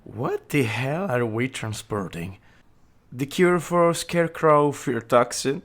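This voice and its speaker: A woman voicing a brash, incredulous young male gangster sidekick in an audio drama, blunt and excitable. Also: very deep voice, takes it slowly, full character voice. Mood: stunned disbelief. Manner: blunt, swearing, stammers in disbelief. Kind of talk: scripted audio roleplay acting.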